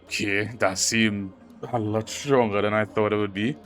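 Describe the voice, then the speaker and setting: Low-voiced man commenting over game music. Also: steady tone, moderate pace, a bit bright. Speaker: game streamer reacting to and reading a game story. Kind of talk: casual playthrough commentary. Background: game music under the voice.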